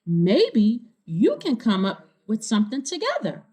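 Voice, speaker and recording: Storybook mom, played by a woman: supportive, reassuring, slightly high, animated pitch. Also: unhurried, breathy edge, heavily acted.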